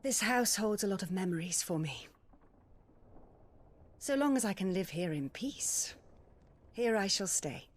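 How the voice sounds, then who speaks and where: A woman's voice: mid-range voice, animated, measured pace, long pauses. An actress playing a genteel, welcoming, wistful noblewoman in a game cutscene, with courteous, formal phrasing. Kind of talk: cinematic game voice acting. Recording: music behind the voice. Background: music.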